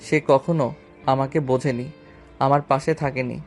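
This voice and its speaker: A man's voice: medium pitch, fairly even intonation, a little slow, darkish tone, soft background music, close to an everyday voice. A man acting a bitter young self-made businessman, a wounded lover, in a romantic audio story. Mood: bitter, hurt.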